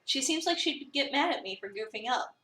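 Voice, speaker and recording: A woman reading a visual novel as an eager, awkward new cafe employee, chatty, expressive and self-deprecating. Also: high voice, a little fast. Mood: startled, self-deprecating. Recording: roomy, a bit far from the mic.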